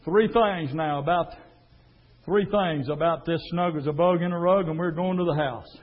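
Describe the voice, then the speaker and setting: A man preaching a fiery sermon, dropping to a low murmur and then rising to a shout. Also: moderate pace. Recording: tinny phone-like sound.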